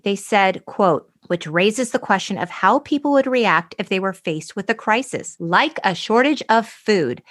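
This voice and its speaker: A woman's voice: medium pitch, a bit bright. A middle-aged American woman co-hosting a podcast, telling stories in a wry, emphatic way, mixing casual chat with quoted articles. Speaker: podcast co-host.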